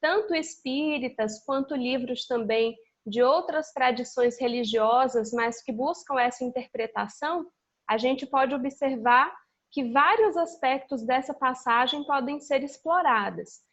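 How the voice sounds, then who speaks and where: A woman's voice: sits high, measured pace, a bit bright. A woman giving an informal religious study talk in a didactic, conversational way. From Salvador, Bahia, Brazil. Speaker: guest speaker. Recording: dull lo-fi internet call audio.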